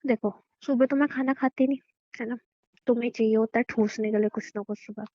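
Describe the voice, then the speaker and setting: A woman's voice: fairly high pitch, steady tone, brisk, dark tone, dry recording, natural voice. A young woman playing a bossy, teasing wife who nags her husband insistently in a naturalistic phone-call skit. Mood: bossy, dismissive. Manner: nagging, insistent, repeats herself. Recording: dull, lo-fi phone call recording.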